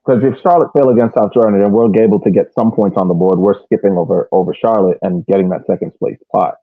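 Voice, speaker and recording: A man's voice: on the low side, steady tone, fast, no echo. A man co-hosting a sports podcast in casual chat, often repeating words as he restarts phrases. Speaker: soccer podcast co-host. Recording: tinny phone-like line, dry.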